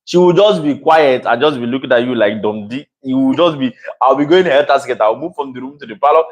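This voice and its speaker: A man chatting with his wife about marriage, loud and animated, turning to address his viewers. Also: medium pitch, fast, low-quality recording. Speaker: husband co-hosting a couple's channel. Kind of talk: casual chat.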